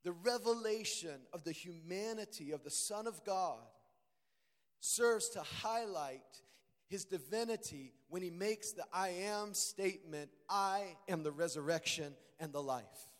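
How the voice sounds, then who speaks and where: A man preaching a sermon, his voice hoarse and strained, earnest and rising to emphatic peaks. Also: high-pitched, unhurried. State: strained voice, deeper than usual. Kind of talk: sermon reading and expounding scripture. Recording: long hall reverb.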